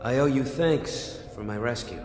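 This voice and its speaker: A man's voice: mid-range voice, moderate pace, close to an everyday voice. An actor playing a solemn former churchman turned strategist in a video game, grave and terse, recorded with long echoing reverb and music underneath. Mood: grim, disapproving. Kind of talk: scripted game dialogue.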